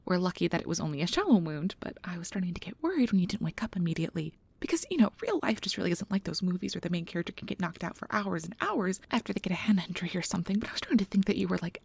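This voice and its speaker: A woman playing a nervous, caring young magic researcher in an ASMR audio drama, rambling in a flustered, halting, apologetic way. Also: lowish voice, creaky, a little mellow, husky, no room sound, heavily acted. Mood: relieved, concerned.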